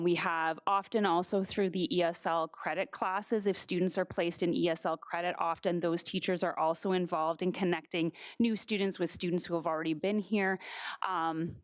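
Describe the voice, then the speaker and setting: A woman on school board staff answering a question, steadily listing programs. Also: fairly low pitch. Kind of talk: meeting answers.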